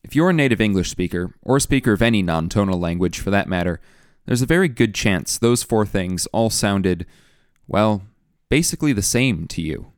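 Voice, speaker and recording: A young man narrating a scripted documentary, measured and explanatory, often putting questions to the listener. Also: lowish voice, expressive, no room sound, clean studio sound. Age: young adult. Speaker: student radio producer and narrator. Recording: faint music underneath.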